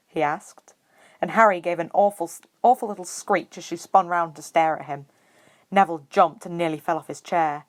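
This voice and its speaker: A woman narrating fan fiction as an audiobook reader. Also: on the low side. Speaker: podfic reader.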